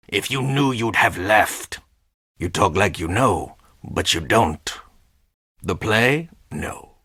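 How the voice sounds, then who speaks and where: A menacing, world-weary game character, speaks slowly in a low, husky voice. He is terse and deadpan, with an ominous edge. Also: dry recording, studio quality, full character voice. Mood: cold, guarded. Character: menacing, world-weary male stagehand guarding a theatrical underworld. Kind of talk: video game character dialogue.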